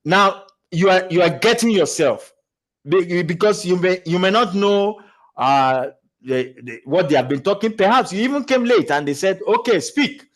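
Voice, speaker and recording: High-voiced man coaching on public speaking. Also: moderate pace. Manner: animated, with many restarts and stammered repeats; laughs while talking. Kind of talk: motivational talk.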